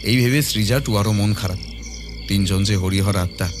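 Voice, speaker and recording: A man's voice: fairly low pitch, normal speed, music in the background. A man narrating a scripted horror audio story in a dramatic storytelling style.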